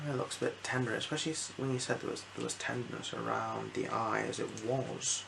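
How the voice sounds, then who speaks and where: A soft-spoken person performing an ASMR eye exam roleplay as an optician, giving gentle instructions with hesitant pauses. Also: mid-range voice, little pitch movement, creaky, background noise.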